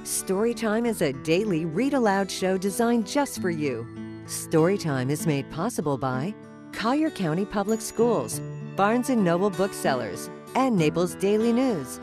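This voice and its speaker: A woman presents a children's story show in a scripted announcer's style. Her pitch moves up and down in a lively way at a middle height. Music plays clearly behind her. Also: measured pace.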